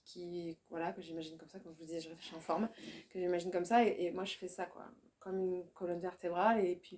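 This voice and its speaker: A woman poet, talking in a relaxed discussion, often hesitating and restarting as she searches for words. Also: lowish voice. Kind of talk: casual discussion.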